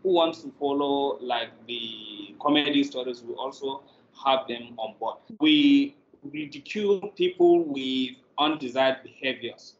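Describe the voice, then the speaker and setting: A middle-aged Nigerian man explains things in an interview over a video call. His pitch is mid-range, and the sound is roomy and a little muffled. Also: a little slow, slight background noise. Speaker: guest, drama producer. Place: indoor room, video call.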